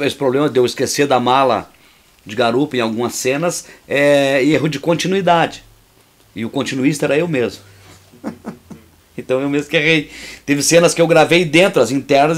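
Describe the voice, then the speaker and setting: Male filmmaker, somewhat high voice, opinionated running commentary. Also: fairly quick. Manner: outspoken, opinionated, indignant; restarts and repeats words while talking freely. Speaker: filmmaker and actor. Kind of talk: commentary over a making-of.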